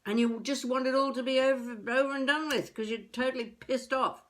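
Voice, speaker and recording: A woman playing a feisty elderly would-be novelist, rambling wryly and haltingly through a comic monologue as a video diary. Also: high voice, steady tone, a bit bright. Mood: reflective, darkly comic. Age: older. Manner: wry, musing, halting, talking to herself.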